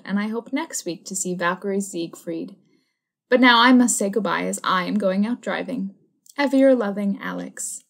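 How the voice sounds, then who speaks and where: A woman's voice: medium pitch, vocal fry, fairly bright tone. An actress playing an affectionate seventeen-year-old princess, reading her letters aloud politely and fondly in an audio drama.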